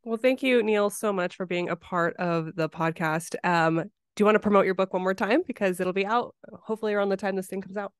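A woman's voice: mid-range voice, fairly even intonation, a little fast, smooth, dry recording, clean studio sound. A woman hosting a podcast, speaking in an informal, chatty interview style. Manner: informal, conversational. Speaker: podcast host. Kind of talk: casual interview chat.

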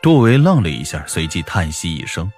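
A man reads fiction aloud as an audiobook narrator. His voice sits low, and his delivery is scripted but expressive and dramatic, with faint music underneath. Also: a little fast.

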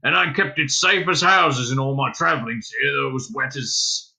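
A male narrator plays a small furry storybook creature with a rough, hairy sort of voice. It is humble and apologetic, chattering on in folksy rustic turns of phrase, with a bright edge. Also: medium pitch, moderate pace. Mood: chatty, rambling.

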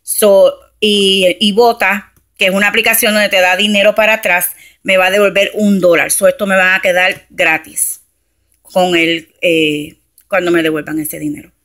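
A woman's voice: medium pitch, steady tone, moderate pace, fairly bright tone. A woman from New Jersey, chatting casually through a grocery haul and explaining prices step by step, recorded on a handheld phone at home. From Camden, New Jersey, USA. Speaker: couponing vlogger. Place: home, beside a shelf of groceries, indoors. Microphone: handheld phone camera.

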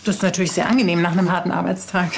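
A woman's voice: on the low side, steady tone, racing, gravelly, a little hiss or hum. An actress playing a friendly, inquisitive undercover policewoman in a TV crime drama, chatty and probing. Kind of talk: naturalistic TV crime drama acting.

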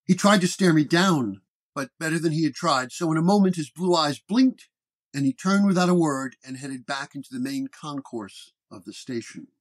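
Male narrator, slightly high-pitched, measured delivery for a first-person noir horror story. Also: animated.

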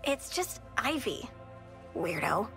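A woman's voice: on the high side, sing-song, takes it slowly, vocal fry, over music, full character voice. A woman voicing a cranky little girl eco-villain in a video game, petulant and blunt, making threats matter-of-factly. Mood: sulky, blunt. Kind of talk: video game cutscene acting.

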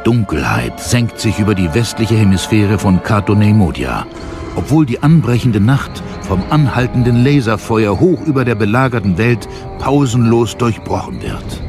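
A man narrating a space war audio drama in a solemn, measured, dramatic way. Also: on the low side, sing-song, over music. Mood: grave, dramatic. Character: grave storyteller of a space war saga.

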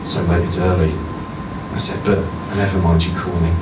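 A man in his thirties reading a short story aloud to a live audience at a stand mic, giving the other speaker's lines a higher voice. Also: little pitch movement, a little fast. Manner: voices the woman's lines higher, shifts to quiet and low near the end. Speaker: story reader. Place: small bar with audience, indoors. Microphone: stand mic, filmed from a far camera. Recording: roomy bar sound from a distance with background hum.

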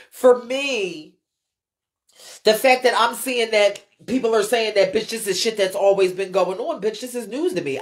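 High-pitched American woman, blunt live-stream commentator, swears freely and hammers points by repetition. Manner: blunt, emphatic, heavy swearing, repeats phrases for emphasis. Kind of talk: unscripted live rant answering viewer comments.

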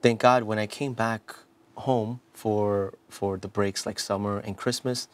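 A man's voice: lowish voice, steady tone, measured pace, no echo. A man in his thirties from South Korea, a priest telling his life story in a studio interview, earnest and full of restarts and "you know" fillers, on a lapel mic. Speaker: priest guest.

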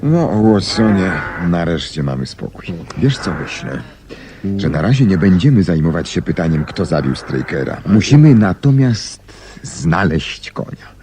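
A shrewd, courteous detective with a very low voice that moves expressively. He reasons in a measured, slyly ironic way, in seventies radio drama style on a faintly noisy recording. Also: sing-song, mellow, full character voice. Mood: confident reasoning. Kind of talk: 1970s Polish radio drama acting.

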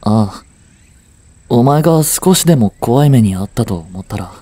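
A man's voice: mid-range voice, expressive, normal speed, dark tone, no echo, close to an everyday voice. A man acting an aloof, blunt but devoted young boyfriend in a romantic audio drama, curt and intimate. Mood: apologetic, tender.

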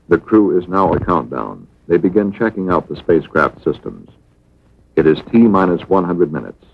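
A man reads scripted documentary narration in a measured, matter-of-fact manner. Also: very deep voice, vocal fry. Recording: dull vintage 1960s film soundtrack.

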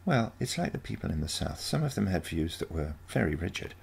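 A man voicing a calm, condescending, self-assured teenage granddaughter from the future in a short story reading. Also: low voice, fairly quick, vocal fry, a little hiss or hum, full character voice. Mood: serious, grave. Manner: calm, matter-of-fact, condescending.

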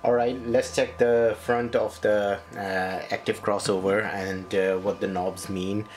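A man with a low voice walks through a hands-on tutorial step by step. He speaks slowly and hesitantly, halting often as he goes. There is a faint hum behind him. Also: little pitch movement. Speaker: presenter of an audio setup tutorial.

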